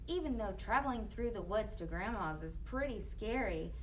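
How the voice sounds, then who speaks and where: A woman's voice: medium pitch, expressive, moderate pace, lightly acted. A woman voicing a sweet, naive young storybook girl with an earnest, exaggerated wide-eyed delivery. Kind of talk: children's storybook performance. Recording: noisy room, distant microphone.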